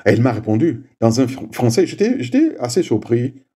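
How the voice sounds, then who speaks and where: A man whose first language is Swahili, leading a prayer and giving a slow, measured homily with frequent little "hein" asides. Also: mid-range voice, sing-song, warm tone, slightly rough. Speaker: preacher.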